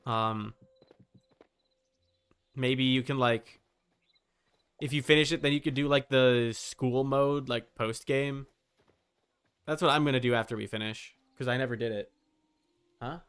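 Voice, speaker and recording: A man's voice: mid-range voice, steady tone, a little slow, pauses often, fairly bright tone, clean tone. A man hosting a game livestream, talking casually and informally in a rambling way, thinking aloud as he goes.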